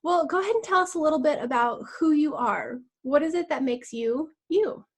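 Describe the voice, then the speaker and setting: A woman's voice: sits high, normal speed. A woman hosting a conversational interview, polite and affirming as she asks questions. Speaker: interview host.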